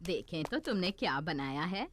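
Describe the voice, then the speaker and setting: A woman's voice: fairly low pitch, moderate pace, over music. A woman voicing a kind, encouraging playgroup teacher, a lady animal in a children's cartoon. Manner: encouraging, expressive. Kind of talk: cartoon dubbing.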